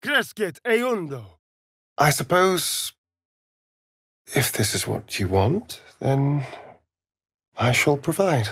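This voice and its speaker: A man with an English accent playing a sardonic, vain elven vampire rogue in a video game, arch, mocking and theatrical, with a breathy voice. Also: a little high, big pitch swings, slow pace, long pauses, fairly bright tone, no echo, clean studio sound, exaggerated voice. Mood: grand, measured. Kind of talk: video game character voice lines, theatrical delivery.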